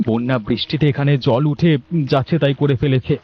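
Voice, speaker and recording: Guy doing earnest younger village brother in a cartoon, plain talk, mid pitch, bit muffled. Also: fairly even intonation, brisk, lightly acted. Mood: wistful. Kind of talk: Bangla cartoon voice acting.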